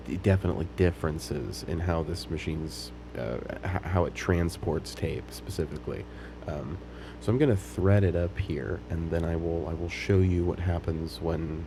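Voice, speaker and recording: A man with a very low voice talks casually through a hands-on demonstration. His explanations come out tentative and unscripted, halting as he works them out. There is clear noise throughout the recording. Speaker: hobbyist demonstrating a tape machine repair.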